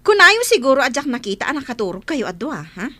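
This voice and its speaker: A woman's voice: sits high, very animated, quick pace, pushed and bright, no room sound, exaggerated voice. A woman with an Ilocano accent playing a nosy, reproving office accountant in a comedy radio drama. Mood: indignant. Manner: questioning, reproving. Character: stern nosy female office accountant, a superior.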